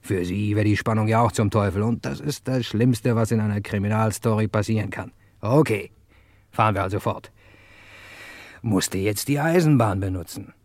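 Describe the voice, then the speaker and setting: An actor playing a hard-boiled, cocky hero who narrates a German radio crime play in clipped slang, talking straight to the listener. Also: male voice, lowish voice, moderate pace, darkish tone, lightly acted. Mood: cocky, sardonic.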